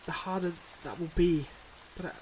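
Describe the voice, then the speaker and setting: A man playing a devoted but guarded mob boss boyfriend in an intimate ASMR roleplay, speaking in earnest, measured confessions. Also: high voice, darkish tone, slight background noise, lightly acted. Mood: bittersweet, devoted.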